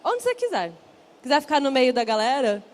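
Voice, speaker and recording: Woman, high voice, São Paulo accent, event host, upbeat, chatty with the crowd. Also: sing-song, brisk. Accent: São Paulo Portuguese. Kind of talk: live stage hosting. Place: stage at a large event.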